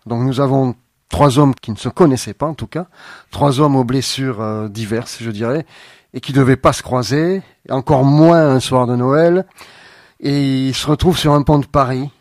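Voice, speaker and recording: A man guesting on a radio show, answering thoughtfully with frequent pauses and fillers. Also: medium pitch, a little mellow, no room sound, studio quality. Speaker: playwright guest. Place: radio studio.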